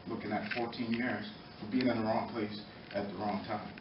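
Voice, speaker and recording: A middle-aged American man giving earnest, halting, impromptu testimony at a public meeting, pausing between short phrases. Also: on the low side, level tone. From Norwalk, Connecticut, United States. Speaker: former boxer speaking in support of a youth program. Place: indoor meeting room, far from the mic. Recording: echoey, muffled, distant, noisy room recording.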